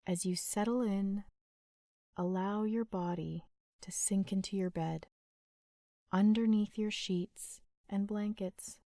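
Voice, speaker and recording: A woman leading a guided sleep meditation in a gentle, soothing, unhurried manner with long pauses. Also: medium pitch, fairly even intonation, no echo, clean studio sound.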